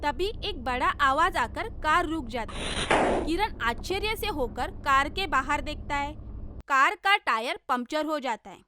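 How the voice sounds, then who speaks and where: A woman's voice: high voice, expressive, unhurried, very noisy. A woman narrating a scripted children's story.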